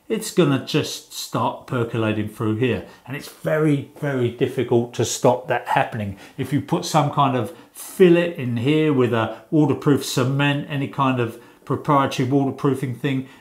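A man's voice: mid-range voice, a little mellow. A middle-aged man giving an informal explanation, conversational in tone and repeating words for emphasis. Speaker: presenter of a home-building advice show. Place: small indoor room, in front of a whiteboard.

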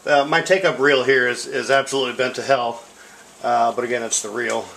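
A man's voice: medium pitch, steady tone, fairly quick, gravelly, a bit bright, slight background noise, poor audio. A middle-aged man giving a casual, unscripted equipment demonstration in a rambling, self-deprecating way. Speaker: tape deck seller demonstrating equipment. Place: indoor room at home. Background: music from record and tape playback.

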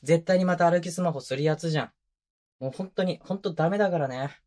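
A man's voice: brisk, close to an everyday voice. Man acting a doting, teasing young boyfriend, close-up. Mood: fond scolding. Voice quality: medium-low voice. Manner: casual, rough-edged masculine speech, soft laughs. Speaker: voice actor. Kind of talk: intimate boyfriend roleplay audio drama, binaural ASMR. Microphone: close binaural microphone.

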